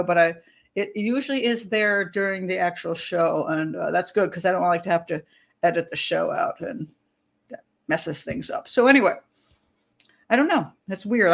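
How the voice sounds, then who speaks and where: An older woman, a criminal profiler, hosting a casual livestream from a small room at home, talking into a webcam. She rambles, interrupts herself and laughs easily, and her voice is a little hoarse. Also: fairly low pitch. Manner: chatty, rambling, self-interrupting, quick to laugh. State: hoarse, clearing throat.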